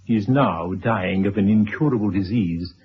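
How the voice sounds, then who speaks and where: A man acting a stern, unbending writer in a radio drama, speaking formally and deliberately with a husky voice. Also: lowish voice, a little hiss or hum, close to an everyday voice. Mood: accusing. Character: stern, unbending male writer confronting an old acquaintance. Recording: dull, muffled old radio recording.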